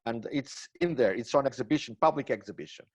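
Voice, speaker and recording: A man's voice: medium pitch, fast talker, a little mellow. A man with a Portuguese accent giving a webinar lecture, talking with enthusiasm and frequent exclamations. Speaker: gemmology lecturer. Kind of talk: webinar presentation. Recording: dull lo-fi webinar audio.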